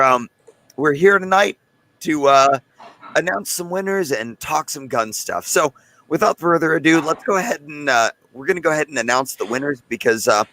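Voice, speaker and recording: Hoarse man hosting a livestream. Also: fairly high pitch, a bit bright, dry recording, rough recording. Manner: loose and chatty, talking straight to viewers in the chat. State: hoarse, losing his voice. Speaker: host of a live gun channel stream. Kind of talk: casual livestream chat.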